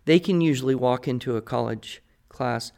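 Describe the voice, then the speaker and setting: A man's voice: medium pitch, normal speed, creaky, darkish tone, clean tone. An American man answering listener questions on a podcast as the expert, conversational, articulate and opinionated as he explains. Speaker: podcast guest expert, writing teacher.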